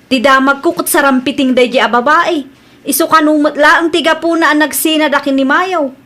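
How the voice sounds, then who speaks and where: A woman's voice: high voice, fairly even intonation, moderate pace, sharp tone. A woman with a Filipino Ilocano accent playing a gossipy, suspicious young sister in a comedy drama. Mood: scornful.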